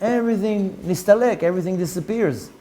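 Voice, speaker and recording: A middle-aged man with an Israeli accent teaching a Torah lesson, reading Hebrew text quickly and explaining it in English with lively rhetorical questions. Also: high voice, poor audio. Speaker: rabbi teaching Zohar.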